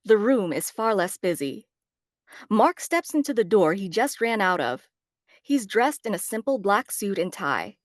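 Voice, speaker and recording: A woman's voice: medium pitch, big pitch swings, measured pace, no room sound, studio quality. A woman narrating stage directions in a scripted table read.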